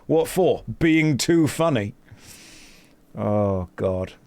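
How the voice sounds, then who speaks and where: A man's voice: medium pitch, animated, drawn-out words, darkish tone. A man chatting casually with his live-stream audience while playing a game, joking at his own expense and reading messages aloud. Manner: self-mocking, joking, reads chat and quest text aloud.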